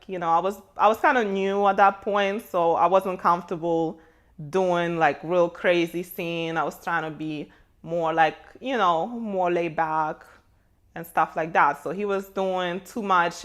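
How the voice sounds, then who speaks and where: A woman's voice: lowish voice, a little slow. A woman speaking informally as an interview guest, telling a personal story in loose conversational phrasing. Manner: informal, hedging with frequent "like" and "you know".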